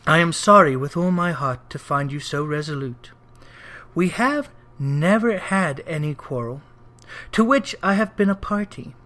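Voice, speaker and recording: Storyteller acting a cheerful young nephew, earnest, pleading, good-humoured, very animated intonation, storybook reading. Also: medium pitch, measured pace. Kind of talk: dramatic storybook character reading.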